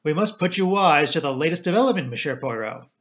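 A man's voice: on the high side, brisk, fairly bright tone, natural voice. A man voicing an English colonel and chief constable in an audiobook, courteous, affable and official in manner. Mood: breezy. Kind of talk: audiobook character dialogue.

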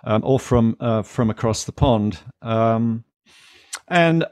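An older man talking in an unhurried, rambling way, full of ums and restarts. His voice sits at a middle pitch and is recorded close and dry on a studio mic in a home music room. He sounds like a guest musician chatting in an interview. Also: darkish tone, dry recording. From Britain. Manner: rambling, many fillers and restarts. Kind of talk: casual interview talk. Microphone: studio mic, headphones on.

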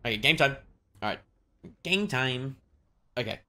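An excitable video game streamer chatting exclamatorily on a livestream. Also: mid-range voice, measured pace.

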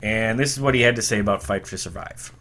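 Brief: male podcast host, 30s, bright and forceful tone, eager fan energy, home setup. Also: lowish voice, quick pace. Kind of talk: enthusiastic retro music commentary. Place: home room with shelves.

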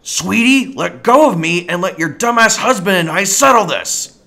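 A man's voice: high-pitched, expressive, moderate pace, creaky, sharp tone, full character voice. An actor voicing a cynical, callous old scientist grandfather in a cartoon audio drama, sarcastic and dismissive. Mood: scornful. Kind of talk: fan-made cartoon audio drama acting.

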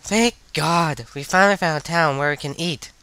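A man's voice: fairly high pitch, moderate pace, pushed and bright, smooth, no room sound, faint music behind. A man voicing a hot-tempered, bossy young sorceress in a parody anime fan dub, sarcastic and foul-mouthed.

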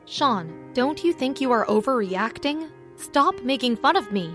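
A woman's voice: sits high, lively intonation, moderate pace, pitched above the performer's own voice. Woman doing a scared pleading young woman. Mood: frightened. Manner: pleading, anxious. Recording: faint music behind.

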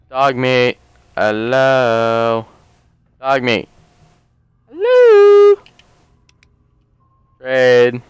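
A man casually commenting on his gameplay, rambling and joking as he thinks aloud, a little hoarse from a long session. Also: mid-range voice, dry recording. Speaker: let's play host.

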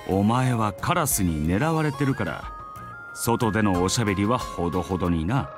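Actor as a brisk, easygoing military squad captain. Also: male voice, on the low side, animated, over music, natural voice. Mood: casual. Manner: brisk, casual, commanding. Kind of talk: Japanese anime game voice acting.

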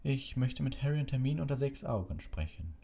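A man acts out an old headmaster from a storybook, composed and authoritative. The voice is creaky and lifted in pitch, courteous yet firm. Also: big pitch swings, brisk, mellow.

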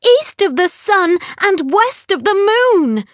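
An earnest young storybook heroine played by a woman narrator, eager and determined. Her voice sits very high and swings with feeling as she pleads and exclaims. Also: very animated, slow pace, no echo, clean studio sound, exaggerated voice. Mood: crying out.

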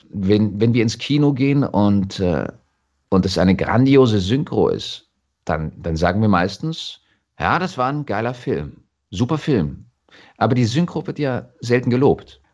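A man with a German accent talking reflectively as an interview guest, thoughtful and halting as he restarts his phrases. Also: on the low side, expressive, darkish tone, highs cut off.

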